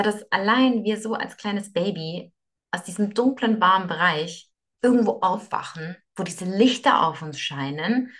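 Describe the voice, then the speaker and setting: A woman's voice: on the low side, a bit bright. A young woman from Russia, a guest in a podcast interview, explaining her ideas earnestly, often restarting and repeating words. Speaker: yoga teacher and guest.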